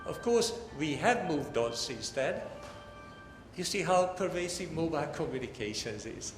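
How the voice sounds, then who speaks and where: A man giving a formal, measured academic lecture with slides, speaking with some hesitation in a large hall. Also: fairly high pitch, expressive, faint music behind. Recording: echoey hall, long reverb, faint noise.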